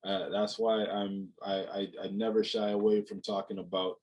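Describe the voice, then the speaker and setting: A man's voice: fairly low pitch, flat delivery, normal speed, a little mellow. A young man from Jamaica, a guest on a video call, talking in a reflective, casual way with many fillers and repeated words. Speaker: guest, mental health speaker. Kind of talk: casual interview conversation. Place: home room, indoors.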